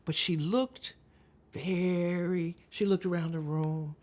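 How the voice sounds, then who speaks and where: Deliberate, lower-pitched American woman speaker. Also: fairly even intonation, a little mellow. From Texas, United States. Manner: deliberate, emphatic, with dramatic pauses. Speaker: public speaker. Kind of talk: spoken advocacy talk with storytelling.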